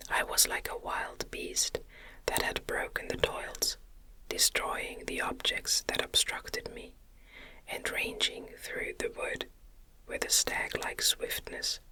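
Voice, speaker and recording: A woman reads a gothic novel aloud in a breathy, unvoiced ASMR whisper, with gentle, deliberate phrasing. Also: low-quality recording. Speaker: audiobook reader.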